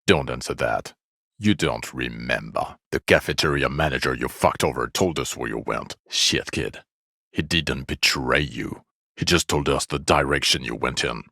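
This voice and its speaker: Game role: exasperated, sarcastic cop, male, gravel-throated with heavy fry, contemptuous weary scolding, close dry studio sound. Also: extremely low pitch, normal speed, sharp tone, no echo, exaggerated voice. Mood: scathing, exasperated. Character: bitter, exasperated, foul-mouthed male police detective, scathingly sarcastic. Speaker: video game voice actor. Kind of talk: video game character dialogue.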